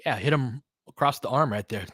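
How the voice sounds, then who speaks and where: Male fan commentator, mid-pitched, calling basketball live in a loose, partisan, conversational way; close, dry recording. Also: fairly quick, a bit bright, husky, dry recording. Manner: informal, partisan fan talk with mild swearing. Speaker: fan play-by-play host.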